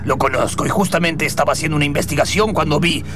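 A formal German doctor of science, put on by a man in an improvised radio play, polite and explanatory. He lays out his words like an expert, while loud guitar music plays behind him. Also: a little high, fast talker, close to an everyday voice. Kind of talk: improvised radio theatre acting.

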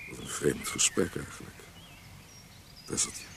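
An actor playing a roguish, self-doubting poet in a radio play, playful and smitten, with a hesitant way of speaking. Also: male voice, a little slow, lightly acted. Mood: tender, reflective. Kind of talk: Dutch radio play acting. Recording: noisy old radio play recording.

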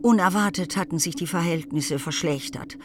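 A woman narrating a German literary radio play from a script, in a wry, expressive manner. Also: on the low side, normal speed, noisy background. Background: music.

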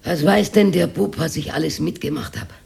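A woman's voice: deep voice, moderate pace, gravelly, darkish tone, slightly rough, exaggerated voice. An actress playing a talkative older Austrian working-class widow who confides her memories in a radio drama, rambling and dropping into whispers. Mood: bitter, weary. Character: talkative old working-class widow reminiscing about her hard wartime life. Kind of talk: radio drama monologue, naturalistic acting.

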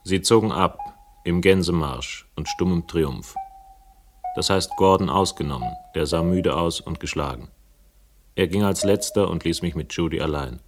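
An actor playing a wry, brooding first-person narrator in a radio crime drama, measured and sardonic. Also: male voice, low-pitched, lightly acted. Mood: wry, somber. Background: music.

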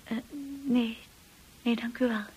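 An actress playing a shy, insecure young wife in a radio drama, with a hushed, breathy voice and a timid, hesitant way of talking. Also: female voice, a little high, little pitch movement, slow pace, exaggerated voice, rough recording. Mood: nervous, apologetic. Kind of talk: radio drama narration and acting.

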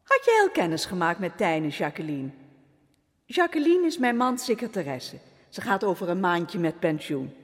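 Chatty minister's wife, lively voice, room echo. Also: female voice, lowish voice, moderate pace. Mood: breezy. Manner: breezy, talkative. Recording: reverberant room.

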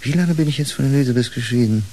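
A man's voice: medium pitch, very fast, darkish tone, slight background noise, natural voice, low-quality recording. A male actor playing an earnest, brooding doctor in a German radio play, hesitant and reflective. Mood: earnest, searching.

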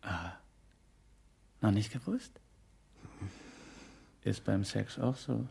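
Gravelly cynical man. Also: lowish voice, very slow, warm tone, heavily acted. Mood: cynical, mocking. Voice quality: husky, gravelly. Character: cynical, sneering man watching trouble from hiding. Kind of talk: radio drama acting.

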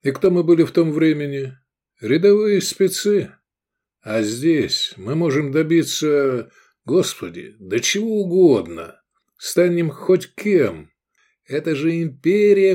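A man's voice: fairly high pitch, big pitch swings, takes it slowly, dark tone, voice pushed up, full character voice. A man voicing an ambitious gladiator in an audiobook, drawling and persuasive. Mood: fervent, ambitious. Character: lazy-toned, ambitious male gladiator rallying his friends.

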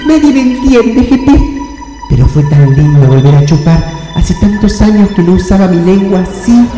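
A man plays a sentimental, eccentric young woman with an Argentine accent, in a high, coy, melodramatic voice. It is a comic radio play, drenched in hall reverb with music behind. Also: expressive, normal speed, mellow. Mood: plaintive, tender. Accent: Argentine, Rioplatense. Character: eccentric, sentimental young woman proud of her licking tongue.

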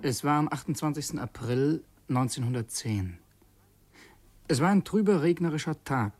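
A man's voice: on the high side, animated, normal speed, darkish tone, soft background music, natural voice. An actor playing a brooding, secretive young man who recounts his memories with melancholy intensity in a radio play. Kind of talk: vintage German radio drama acting.

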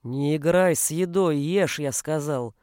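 Woman voicing a gruff, domineering, irritable father. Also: low voice, unhurried, mellow, no room sound, studio quality, pitched below the performer's own voice. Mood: enraged. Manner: gruff, barking commands. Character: irritable, domineering father snapping and roaring at his family. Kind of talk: audiobook character voice.

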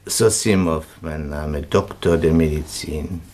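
An actor playing a brisk, reassuring physician in a German radio play. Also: male voice, very deep voice, a little mellow, exaggerated voice. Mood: calm, chatty. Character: matter-of-fact male physician at a sickbed.

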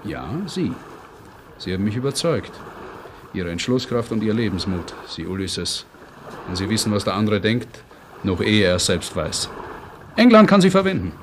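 A man's voice: on the low side, sing-song, moderate pace, long pauses. A man playing a dry, impatient English agent in a German radio play, clipped, ironic and formally polite. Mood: conceding. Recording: noisy old radio play recording.

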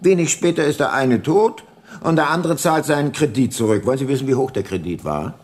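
An actor playing a hard-nosed, cunning older private detective in a radio drama, terse and dry. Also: male voice, mid-range voice, lively intonation, fairly quick, lightly acted. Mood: menacing, pressing. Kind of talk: radio drama narration and dialogue.

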